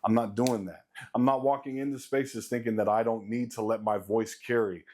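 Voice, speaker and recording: A man in his late thirties with a deep voice, giving a blunt, profane motivational rant to his viewers as a vlogger. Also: steady tone, normal speed, a little mellow. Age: late 30s. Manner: blunt, profane, emphatic repetition. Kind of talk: casual motivational rant to camera.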